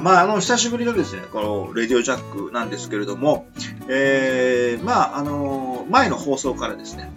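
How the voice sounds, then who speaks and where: A man hosting a casual radio chat, polite and rambling with many fillers. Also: mid-range voice. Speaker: radio show host.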